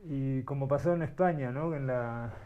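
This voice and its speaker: A man's voice: mid-range voice, moderate pace, narrow, tinny audio. A middle-aged man born in Uruguay, telling his life story as an interview guest, thoughtful and searching for words. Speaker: guest, artist.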